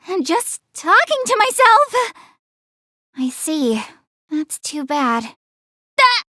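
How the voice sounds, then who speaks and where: A woman's voice: high voice, a bit bright, dry recording, clean studio sound, exaggerated voice. An actress voicing a proud but secretly shy young goddess girl in an English video game dub, easily flustered and prone to defensive stammering. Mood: flustered, embarrassed.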